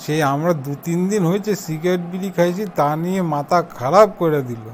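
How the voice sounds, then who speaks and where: A man's voice: sits high, a little fast, a little hiss or hum, natural voice. A man playing an aggrieved young pal in an amateur comic cartoon, chatty and complaining in an eastern Bengali accent. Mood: grumbling, commiserating. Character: aggrieved young male pal, gossiping and grumbling.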